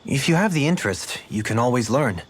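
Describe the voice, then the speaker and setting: A man's voice: mid-range voice, expressive, a little fast, breathy edge. A man playing a cultured, gracious nobleman who speaks formally and eloquently, in video game dialogue. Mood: encouraging, thoughtful. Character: cultured, courtly nobleman, gracious, reflective, music-loving. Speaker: video game party member. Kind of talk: English-language video game character dialogue.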